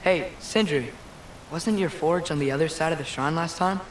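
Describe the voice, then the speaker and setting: Man playing an earnest, highish-voiced teenage boy. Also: moderate pace, a little hiss or hum, lightly acted, low-quality recording. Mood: curious. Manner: earnest, inquisitive. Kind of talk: video game cutscene acting. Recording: music loud behind the voice.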